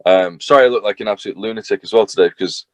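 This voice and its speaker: Young northern english man, very deep voice, dry close sound, chatty and rambling. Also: very fast, no echo. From north of England. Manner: rambling, self-deprecating, chatty with many fillers. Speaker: singer-songwriter guest. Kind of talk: casual interview chat.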